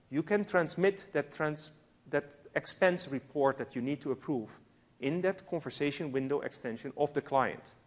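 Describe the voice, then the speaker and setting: A man from the Netherlands with a Dutch accent gives a live technical demo, explaining his on-screen actions step by step. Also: mid-range voice. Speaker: software presenter.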